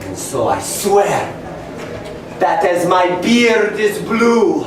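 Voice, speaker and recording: A man performing a pompous, short-tempered mad scientist baron in a campy staged reading, declaiming and erupting into shouts. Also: sits high, sing-song, extremely slow, full character voice. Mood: grandiose. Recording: distant mic, noisy echoey live room.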